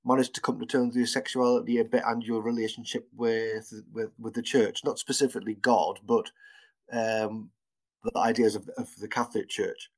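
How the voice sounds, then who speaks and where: A man's voice: on the low side, flat delivery, moderate pace. Hesitant podcast host, man in his fifties. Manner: friendly, hesitant questioning with fillers. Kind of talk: casual interview chat.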